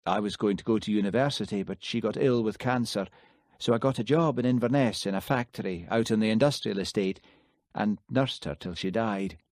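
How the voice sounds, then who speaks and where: A guarded young Highland woman, quiet and evasive, her voice lifted well above a man's usual pitch, as a male narrator puts her on. The reading is dry and close, with no room sound. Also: a little fast, dry recording, close to an everyday voice.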